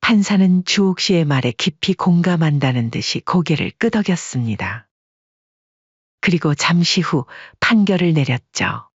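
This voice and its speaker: A narrator reading a story in an even, measured way as an audiobook. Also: medium pitch, slightly rough, no room sound, dull audio.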